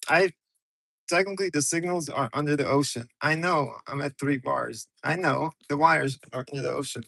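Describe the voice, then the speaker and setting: A man's voice: fairly high pitch, vocal fry, a bit bright, dry recording, poor audio. A man from Ukraine hosting a casual, rambling livestream from his car on his phone, talking loose and slangy straight to his viewers. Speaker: livestream host.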